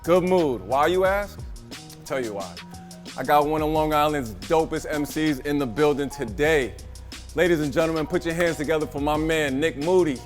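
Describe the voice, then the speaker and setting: A man's voice: fairly high pitch, normal speed, soft background music. A man hosting a cooking show as chef, talking in a hyped, slangy, friendly way. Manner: hyped, slangy, calls guest baby and bro. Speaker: host and chef. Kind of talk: upbeat show hosting, casual chat.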